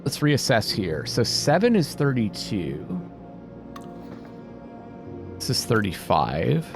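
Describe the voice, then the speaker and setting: A man's voice: on the low side, very animated, slow. A man giving casual let's-play commentary, muttering and thinking aloud as he goes and reading bits out. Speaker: gaming video host. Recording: music behind the voice. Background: game music.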